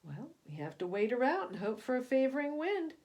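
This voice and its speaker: A woman voicing a gruff, commanding male sailing ship captain from a storybook, growling in salty sailor talk. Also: high-pitched, sing-song, fairly quick, mellow. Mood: resigned.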